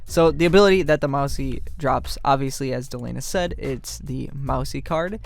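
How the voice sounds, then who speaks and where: A man's voice: medium pitch, moderate pace, vocal fry, dry recording. A man talking casually as a game developer, explaining things in a rambling devlog commentary. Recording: music behind the voice. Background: background music.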